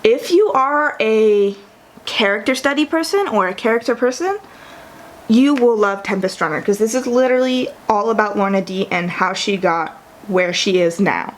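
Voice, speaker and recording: A woman's voice: medium pitch, moderate pace. A young woman vlogging casually about books, chatty and enthusiastic, correcting herself often and using plenty of fillers. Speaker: book vlogger. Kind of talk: casual vlog tier ranking.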